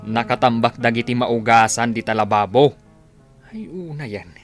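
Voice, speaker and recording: Man acting village husband, medium-pitched voice, Ilocano accent, admonishing, conversational, radio drama. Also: moderate pace, faint music behind, close to an everyday voice. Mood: friendly advising.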